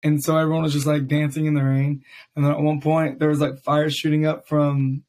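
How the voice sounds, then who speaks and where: Raspy young man hosting a podcast. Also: fairly high pitch, little pitch movement, fairly quick, a little mellow. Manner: loose and rambling, many fillers. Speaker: podcast host. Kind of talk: casual chat.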